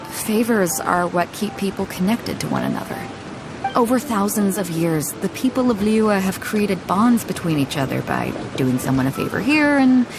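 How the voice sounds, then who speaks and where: A bold, hearty ship captain. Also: female voice, fairly low pitch. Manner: confident, laid-back, laughs easily. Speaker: game character. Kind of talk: game cutscene voice acting. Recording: background game music under the voice.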